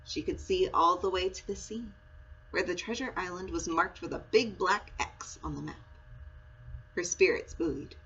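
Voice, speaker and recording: A woman reading a children's story aloud in an expressive, storytelling style. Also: on the low side, moderate pace. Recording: muffled audio with clear background noise.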